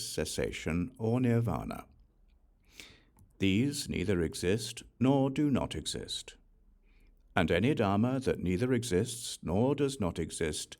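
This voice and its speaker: Low-pitched man reading scripture, widely varied intonation. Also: a little mellow. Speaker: audiobook narrator.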